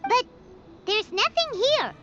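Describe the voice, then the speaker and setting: A woman voicing a tiny, childlike female fairy companion in a video game, squeaky and cutesy, excitable and timid. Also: takes it slowly, lo-fi sound, soft background music, full character voice. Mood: curious, doubtful. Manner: squeaky, cutesy, refers to self in third person. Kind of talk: scripted video game dialogue.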